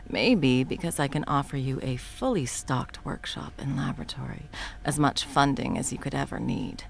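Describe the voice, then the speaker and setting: A woman voicing a scheming female supervillain who swears and often drops to a soft whisper, in a one-sided audio roleplay. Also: extremely low pitch, gravelly, a little hiss or hum, heavily acted.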